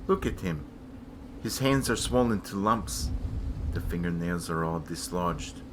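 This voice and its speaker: A man in a dramatic reading playing a hard, taunting polar explorer with grim philosophy, in a theatrical, cutting and deliberate delivery. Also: sits low, expressive, noisy background. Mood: somber, musing. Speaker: stage actor. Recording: loud music behind the voice. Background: music.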